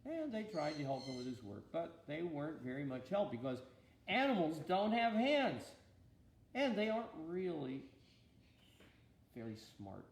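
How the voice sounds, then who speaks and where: A man reads a storybook aloud with a gravelly edge and very animated intonation. He paces it with deliberate pauses and slips in gentle asides to his listener. The room rings around him, with a faint layer of noise. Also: a little high. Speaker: bishop reading aloud. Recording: roomy echo, faint background noise.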